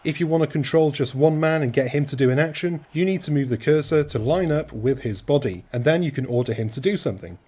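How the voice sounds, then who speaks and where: A man's voice: on the high side, fast, hardly any pauses, dark tone, husky. A man from rural Wales, reading a scripted video game review with dry, cheeky humour.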